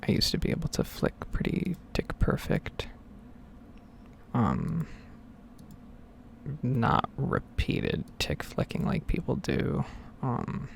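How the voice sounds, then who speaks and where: ASMR gaming host, male, hushed and hesitant, crackly vocal fry, pitch that swings widely. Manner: rambling, hesitant. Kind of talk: ASMR, soft-spoken and whispered game commentary.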